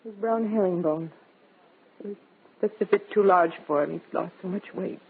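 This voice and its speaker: An actress playing a fragile, grief-stricken widow in an old radio drama, pleading and overwrought, on a tinny recording. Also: female voice, fairly low pitch, moderate pace, vocal fry, breathy edge, full character voice. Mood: weary, pleading. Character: grief-stricken, worn-out widow of a party member. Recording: tinny band-limited vintage radio recording.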